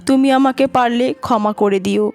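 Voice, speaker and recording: A woman's voice: fairly high pitch, steady tone, fairly quick, a little mellow, natural voice. A woman playing an anxious, scolding older mother-in-law in a melodramatic cartoon, with dramatic, lamenting delivery. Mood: remorseful. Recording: music behind the voice.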